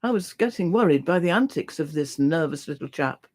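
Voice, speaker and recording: A reader narrating a classic adventure novel aloud in an expressive storytelling style. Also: mid-range voice, fairly quick. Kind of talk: expressive audiobook reading of a novel.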